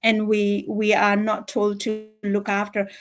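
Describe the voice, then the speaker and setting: A woman from Nepal with a Nepali accent, giving an informal motivational talk in an earnest, emphatic, halting way, often repeating phrases. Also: medium pitch, completely flat delivery. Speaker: livestream speaker.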